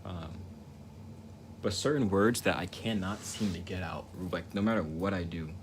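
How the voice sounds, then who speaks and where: Soft-spoken young man with a deep voice. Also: moderate pace. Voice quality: stutter. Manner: rambling, self-reflective, with repeated words and restarts. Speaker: livestreaming painter. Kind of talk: casual livestream chat while painting. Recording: noticeable ambient noise.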